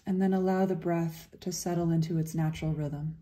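A woman leading a guided meditation in a gentle, unhurried, soothing way, leaving long pauses between phrases. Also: fairly low pitch, fairly even intonation.

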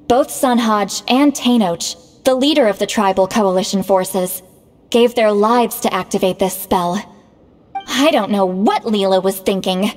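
A woman's voice: fairly high pitch, natural voice. Woman voicing a proud young shaman, reverberant. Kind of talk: scripted video game dialogue.

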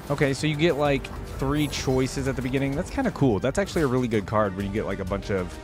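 A man's voice: mid-range voice, fast, music in the background. A young adult man gives casual, conversational game reaction commentary, thinking aloud into a close microphone. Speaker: reaction video host. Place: small indoor room at a desk. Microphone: close desk microphone with headset worn.

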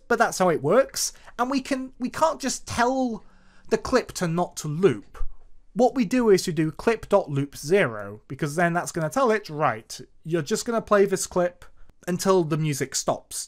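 A tutorial presenter narrating a coding screencast in a casual, explanatory manner. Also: medium pitch. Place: voice-over for a screen recording.

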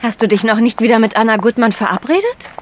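An actress playing an anxious but cheeky female journalist in a crime audio drama, expressive and agitated. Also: on the high side, fast, a little hiss or hum, close to an everyday voice. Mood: wary. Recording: reverberant room sound.

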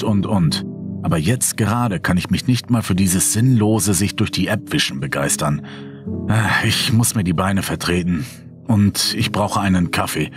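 Casting: low-voiced male actor as weary, haunted first-person horror narrator, dramatic delivery, music underneath. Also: close to an everyday voice. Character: sleepless, brooding man haunted by a mysterious hole.

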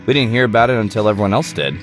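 A man's voice: on the low side, expressive, very fast, music in the background, close to an everyday voice. A man voicing a laid-back, teasing young slacker in a visual novel, easygoing and wry. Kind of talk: visual novel character voice acting.

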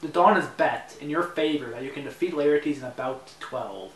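A man's voice: a little high, normal speed, natural voice. Osric, a young man playing a formal advisor. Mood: deferential. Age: young adult. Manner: formal, polite, briefing-like. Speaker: actor in an amateur mob drama. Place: living room in a house. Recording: roomy room audio from a far camera, faint hiss.

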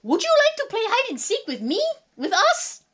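A woman's voice: wildly swooping pitch, normal speed, fairly bright tone, exaggerated voice. A woman voicing a cute, furry male monster from a children's storybook, sweet, eager and pleased with himself. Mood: eager, playful. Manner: bubbly, very high-pitched.

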